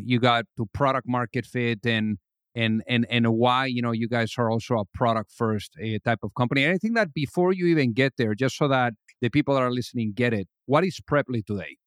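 A man's voice: medium pitch, brisk, smooth, dry recording, studio quality. A male podcast host asking long, rambling questions filled with "you know, like". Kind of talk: interview questions.

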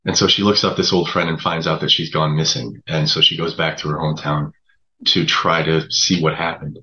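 A man with an extremely low voice that still has a bright, firm edge. He answers interview questions conversationally, pausing and hesitating as he explains. The recording is a little dull and lo-fi. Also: level tone. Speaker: guest writer of audio drama.

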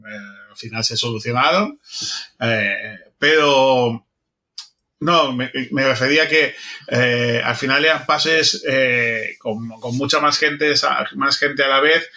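A man's voice: mid-range voice, slow pace, pushed and bright. A man with a Castilian Spanish accent from Spain, chatting casually and enthusiastically in a group, often repeating his phrases. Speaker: audio fiction project member.

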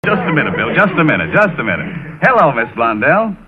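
A man's voice: fairly high pitch, very animated, fast talker. A man playing straight man as an announcer in old-time radio comedy banter. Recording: tinny old radio broadcast, studio audience.

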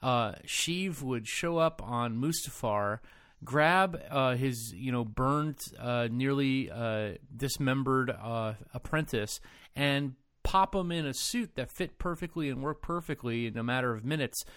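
A man with an average-pitched voice co-hosts a casual film discussion. He talks in a playful, mock-serious way. The sound is very dry, with no room echo. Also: unhurried, fairly bright tone, clean tone. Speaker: podcast co-host.

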